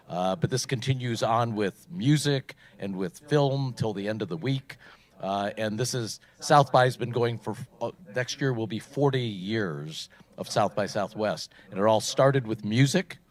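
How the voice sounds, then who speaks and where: A middle-aged man from Poland hosting an event on stage, talking casually into a microphone and rambling with many fillers. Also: mid-range voice. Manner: chatty, rambling, frequent fillers. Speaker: event host.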